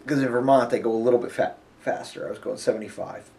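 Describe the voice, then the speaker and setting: A man's voice: mid-range voice, fast, creaky, mellow, a little hiss or hum. A man with a sniffly nose, chatting casually and rambling about a road trip, full of fillers. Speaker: vlogger. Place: hotel.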